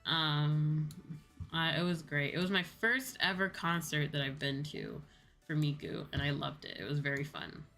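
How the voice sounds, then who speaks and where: A woman's voice: low voice, animated, normal speed, fairly bright tone. A woman chatting casually and in a rambling way with viewers on a livestream. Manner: rambling, conversational, casual swearing. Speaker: VTuber livestreamer. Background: background music.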